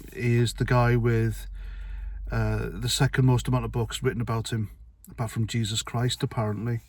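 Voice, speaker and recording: Middle-aged male vlogger, mid-pitched, dry sound, rambling and sweary. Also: darkish tone, dry recording. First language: Welsh. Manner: blunt, rambling, sweary, with restarts. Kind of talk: casual opinion monologue to camera.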